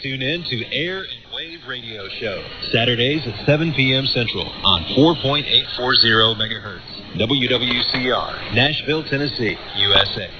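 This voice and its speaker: A man's voice: medium pitch, lively intonation, normal speed, hardly any pauses. A man making scripted schedule announcements as a radio station announcer. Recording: dull, noisy shortwave radio broadcast.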